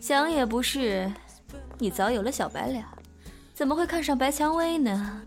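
A flirtatious restaurateur in a radio drama. She sounds teasing and knowing, in a fairly high voice. Light music plays behind her. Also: animated, moderate pace. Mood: teasing, probing. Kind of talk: Mandarin audio drama acting.

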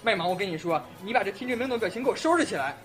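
A woman's voice: medium pitch, lively intonation, rapid-fire, natural voice. A woman acting an exasperated, dramatic teenage classmate in an audio drama, scolding theatrically. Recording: music playing behind.

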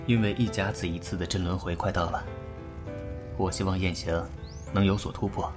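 An actor playing a calm, authoritative clan elder uncle who speaks in a measured, commanding way in a fan audio drama. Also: male voice, sits low, over music, natural voice. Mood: stern.

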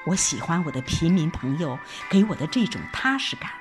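A woman narrating a scripted first-person story in a measured, expressive storytelling style. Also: low voice, music in the background. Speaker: audio drama narrator.

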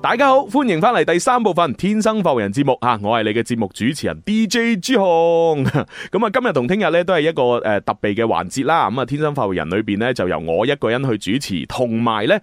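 An upbeat man presents a radio show. His pitch moves around a lot as he chats casually to listeners. The sound is dry and close. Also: big pitch swings, fast talker, hardly any pauses, dry recording.

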